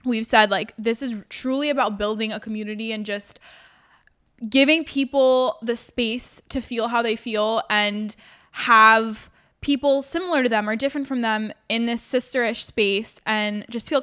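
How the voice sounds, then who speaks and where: Smooth, higher-voiced woman co-host. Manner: earnest and warm-hearted, talking in long flowing sentences. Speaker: podcast co-host. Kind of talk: casual chat.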